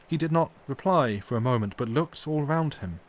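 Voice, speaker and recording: A man narrating a gothic novel aloud for a livestream, in a measured, expressive storytelling manner. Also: medium pitch, slight background noise. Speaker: audiobook reader on a livestream.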